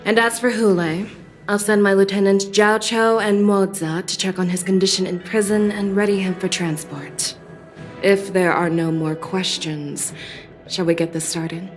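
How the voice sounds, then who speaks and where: A woman's voice: mid-range voice, fairly even intonation, moderate pace, faint music behind, close to an everyday voice. An actress playing a composed, decisive female general in a video game. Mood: firm, formal. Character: composed, decisive female general who trusts her instincts. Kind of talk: English game voice acting.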